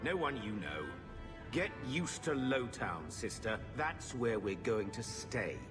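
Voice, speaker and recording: An actor playing a gruff, evasive middle-aged uncle in a fantasy game, bitter and dismissive in manner. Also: male voice, medium pitch, sing-song, slow. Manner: surly, dismissive. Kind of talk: fantasy video game acting. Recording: long reverb, loud music behind.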